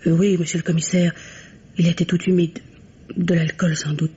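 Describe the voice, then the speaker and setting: An actress playing an anxious, deferential housemaid giving testimony in a radio mystery, hesitant and earnest. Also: female voice, on the low side, level tone, moderate pace, dark tone, husky. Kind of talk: French radio mystery drama acting. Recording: dull, noisy old radio recording.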